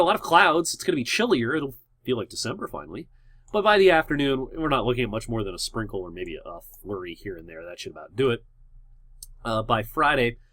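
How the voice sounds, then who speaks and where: A man working as a TV meteorologist, hoarse from a cold and sore throat, walks through the weather in a loose, unscripted way with frequent pauses and restarts. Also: mid-range voice, bright, forceful tone, no echo. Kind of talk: casual weather forecast video.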